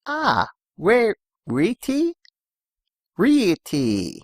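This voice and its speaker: A woman voicing a timid, anxious little colt with halting, simple childlike speech in an audio drama. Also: mid-range voice, wildly swooping pitch, darkish tone, no room sound, studio quality, heavily acted. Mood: shy, hesitant. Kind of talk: scripted audio drama character acting.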